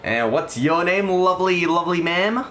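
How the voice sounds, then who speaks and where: A man's voice: sits high, lively intonation, moderate pace, sharp tone, voice pushed up. A man voicing a tiny, brash magician in a comedy sketch, calling out repetitive showman patter. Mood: brisk, showy.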